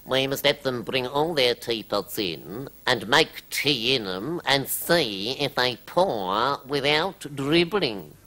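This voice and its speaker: A man voicing a measured, practical Prime Minister in a fairy tale, advising deliberately. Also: medium pitch, animated, bright, forceful tone, poor audio.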